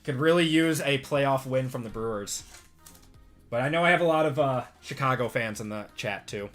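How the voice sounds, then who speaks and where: A man's voice: medium pitch, normal speed, soft background music. A man in his thirties from Wisconsin with a Midwestern accent, hosting a live card break stream and chatting with viewers as he reads out cards. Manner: relaxed, chatty, reads out cards and answers chat. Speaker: sports card break host. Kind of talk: live-stream chat while opening cards.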